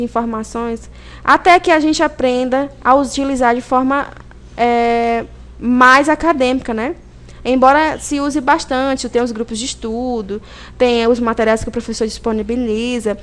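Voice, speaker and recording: A woman with a Brazilian Portuguese accent giving an informal recorded lesson as a teacher, conversational and didactic, often asking and answering her own questions. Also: high-pitched. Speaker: teacher presenting a video lesson.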